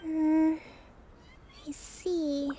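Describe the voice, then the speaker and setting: An actress playing a gentle, dutiful young leader in a video game, polite and formal with a quiet weariness. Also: female voice, sits high, level tone, extremely slow, slight background noise. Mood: weary, restrained. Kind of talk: English video game voice acting. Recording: music behind the voice.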